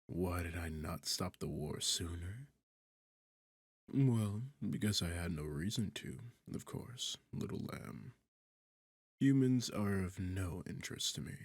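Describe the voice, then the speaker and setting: Man voicing a gravelly, sardonic demon king. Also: low-pitched, expressive, unhurried, dry recording, exaggerated voice. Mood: haughty, matter-of-fact. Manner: sardonic, condescending, teasing, smooth-talking. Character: sarcastic, seductive male demon king, arrogant and bored. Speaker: audio roleplay performer. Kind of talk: intimate ASMR fantasy roleplay monologue.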